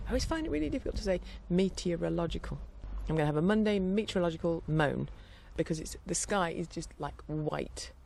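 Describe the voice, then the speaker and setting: A rambling, chatty woman talking outdoors in her garden, her voice carrying a gravelly fry. She sounds a little blocked up in the nose and drifts into quieter stretches as she wanders through her thoughts. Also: on the low side, a little hiss or hum. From England. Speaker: podcast host. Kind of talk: casual chat.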